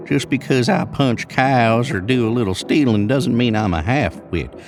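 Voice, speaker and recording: A male narrator plays a craggy old-West drifter with a sly streak. He spins tales in a folksy, drawn-out drawl, pitched up from the narration. Music plays behind this Western storybook voice. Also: natural voice. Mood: ominous. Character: craggy drifter cowboy outlaw, sly campfire spinner of tales. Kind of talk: Western audio storybook character.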